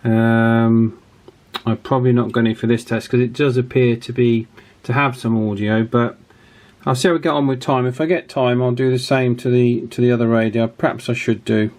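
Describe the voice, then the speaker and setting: A man's voice: medium pitch, steady tone, normal speed, a little mellow. A man hosting a casual hands-on demonstration, chatting and thinking aloud as he goes. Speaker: host of radio repair channel.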